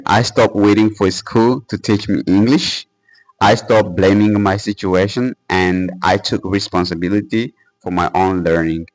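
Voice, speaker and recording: A man's voice: lowish voice, low-quality recording. Earnest young man, English. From Portuguese-speaking country. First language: Portuguese. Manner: earnest, casual with "bro" and fillers. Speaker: English-learning YouTuber. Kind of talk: motivational talk to camera.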